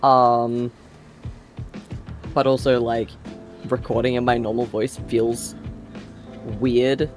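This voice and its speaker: A man with an Australian accent speaks slowly and at an easy pace, poking fun at himself and laughing easily. He chats casually as a podcast guest over faint background music. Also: mid-range voice, little pitch movement. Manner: unhurried, self-deprecating, laughs easily. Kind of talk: casual chat.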